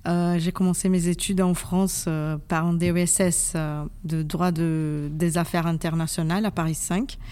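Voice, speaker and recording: A woman's voice: on the low side, flat delivery, normal speed, few pauses, warm tone, clean tone. A Brazilian woman in her forties, a lawyer whose first language is Portuguese, answering in French in a friendly, hesitant way. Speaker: intellectual property lawyer. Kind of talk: podcast interview.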